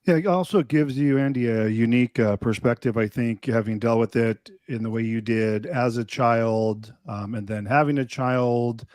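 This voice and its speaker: A man's voice: medium pitch, normal speed, hardly any pauses, darkish tone, dry recording. A man hosting a podcast, asking long rambling questions with fillers in a casual interview. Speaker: podcast host.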